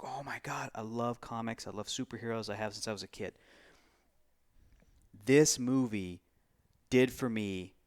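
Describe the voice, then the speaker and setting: Gushing man with a clear, smooth voice. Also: lowish voice. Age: 30s. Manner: gushing, emphatic, repeats phrases for emphasis. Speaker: film review show co-host. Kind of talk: casual unscripted movie review chat.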